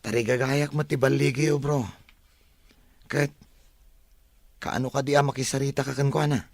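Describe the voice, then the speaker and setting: A man's voice: mid-range voice, little pitch movement, moderate pace, creaky, a little mellow, dry recording. An actor playing a loyal, upbeat young man, a best friend, in a radio drama. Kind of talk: Ilocano radio drama acting.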